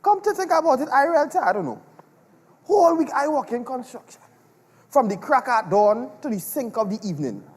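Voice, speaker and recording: Selfish Trinidadian family man played by a male stage actor, blustering, animated pitch, dialect comedy, reverberant hall. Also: a little fast. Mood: grumbling, sly. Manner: exasperated, exclamatory, dramatic. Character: selfish, rum-loving husband and father, blustering and evasive. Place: large performance hall, on stage. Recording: echoey hall with long reverb.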